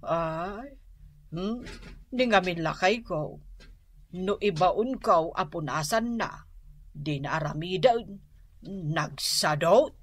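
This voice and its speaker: A woman's voice: lowish voice, expressive, slow, pauses often. A woman playing a nagging, exasperated older wife and mother in an Ilocano radio comedy drama, with an Ilocano accent. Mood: weary complaining.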